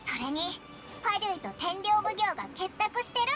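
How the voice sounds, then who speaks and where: A woman voicing a tiny childlike fairy companion in an anime game, squeaky, excitable and earnest, chattering in blunt casual speech. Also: rapid-fire. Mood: eager, determined. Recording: game dialogue under prominent music.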